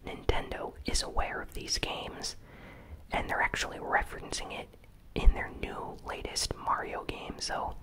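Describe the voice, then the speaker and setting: A host speaks entirely in a breathy whisper, presenting gaming news as ASMR. The delivery is casual and relaxed, with informal phrasing throughout. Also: low-quality recording.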